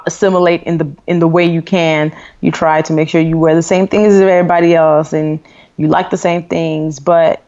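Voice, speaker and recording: A woman in her thirties, born in Nigeria, speaks candidly and easily as an interview guest. She uses a lot of fillers, and her voice sits fairly low. The recording sounds dull and lo-fi. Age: 30s. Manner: candid, relaxed, frequent fillers. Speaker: guest author and blogger. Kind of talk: interview conversation.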